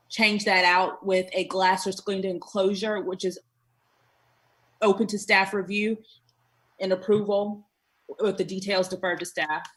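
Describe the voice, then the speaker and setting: A woman's voice: medium pitch, a bit bright. A woman serving as a commission member, deliberating and stating motions in a formal meeting.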